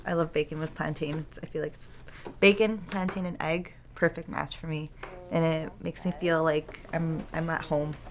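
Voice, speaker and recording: A woman's voice: sits low, level tone, normal speed, slight background noise. A young woman raised in Ecuador and Canada, talking casually in an interview with lots of "like" and "uh". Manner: casual, full of "like" and "uh". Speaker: documentary interviewee. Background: guitar music.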